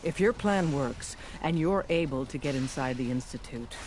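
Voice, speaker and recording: An actor playing an earnest, secretive resistance leader in a video game, briefing an agent in an urgent, deliberate, persuasive way. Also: male voice, medium pitch, animated, a little mellow, slight background noise, natural voice, poor audio. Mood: grave, confiding.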